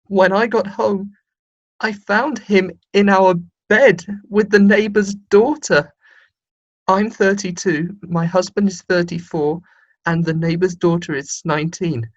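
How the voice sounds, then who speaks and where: A woman's voice: mid-range voice, steady tone, measured pace, natural voice. A woman playing in an amateur radio comedy sketch, reading advice-column letters aloud earnestly and plainly. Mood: earnest, plaintive. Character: reluctant sound technician pressed into reading agony-aunt letters. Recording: dull, band-limited recording.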